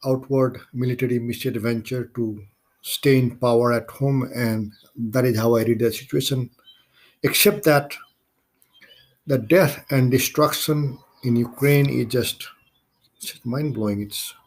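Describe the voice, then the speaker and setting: Man, Nepali accent, medium-pitched voice, podcast host, solo monologue, deliberate halting phrasing. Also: darkish tone.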